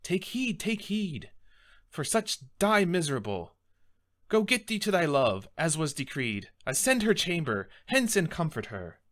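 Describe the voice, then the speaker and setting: Man acting an exasperated, animated Shakespearean friar. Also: on the high side, a little slow. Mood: urgent exhorting, then urgent instructing. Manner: declamatory, rebuking, emphatic. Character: stern, exasperated friar scolding a despairing young man. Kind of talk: Shakespearean theatrical monologue.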